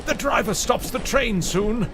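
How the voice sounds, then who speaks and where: A man's voice: very high-pitched, unhurried, gravelly, music in the background, heavily acted. An actor playing an agitated, grieving middle-aged father who hunts ghosts in an audio drama, speaking insistently and repeating himself with urgency. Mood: desperate.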